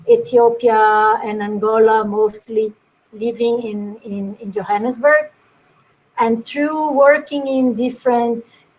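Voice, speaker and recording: A woman giving a thoughtful webinar talk about her work, often pausing and repeating words as she searches for the next phrase. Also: on the high side, low-quality recording. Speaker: guest speaker presenting her work. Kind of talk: webinar presentation.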